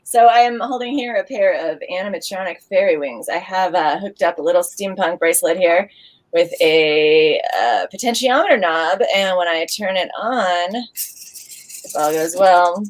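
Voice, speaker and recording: A woman's voice: fairly low pitch, unhurried. A woman giving a casual show-and-tell presentation of her own handmade project. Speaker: maker presenting a craft electronics project.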